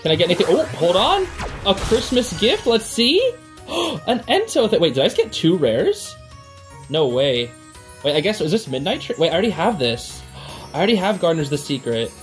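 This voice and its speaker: Young adult gaming host, mid-pitched and very animated, slangy and gushing, music underneath. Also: moderate pace. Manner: gushing, slangy, exclaims and gasps. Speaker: host of a gaming reaction channel. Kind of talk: casual excited gameplay and reaction commentary. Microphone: home recording on a makeshift travel setup.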